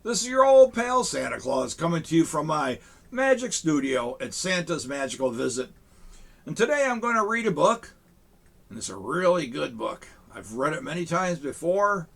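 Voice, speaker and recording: A man reads a children's picture book aloud to kids from a small studio set, talking with them between pages. His voice is gravelly and husky, sitting a bit high for a man, with a lively, swooping delivery. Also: normal speed. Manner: warm, chatty grandfatherly asides to children. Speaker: storyteller in a Santa persona.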